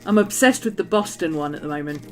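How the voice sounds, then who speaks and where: A woman's voice: on the low side, lively intonation, quick pace. A middle-aged woman from England's West Country, with a mixed West Country and Manchester accent, chatting casually while she crafts, bubbly and full of ums and laughs. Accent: English, mix of West Country and Manchester. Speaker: journaling streamer. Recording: faint background music.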